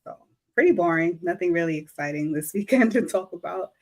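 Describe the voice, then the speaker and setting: A woman's voice: on the low side, lively intonation, brisk. A woman in her thirties from New York, a guest artist talking casually and modestly, laughing often. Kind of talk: casual interview.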